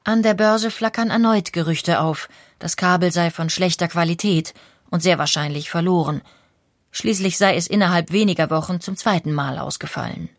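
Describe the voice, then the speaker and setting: Woman narrator, scripted documentary, lowish voice, dry studio sound. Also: moderate pace, no echo.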